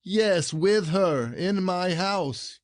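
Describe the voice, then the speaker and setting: An aggrieved husband in a classic farce, acted by a man, with a fairly high voice and a dry, close sound. He declaims his grievances formally, indignant and scheming. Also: very slow, no room sound. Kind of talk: classic comedy audio play acting.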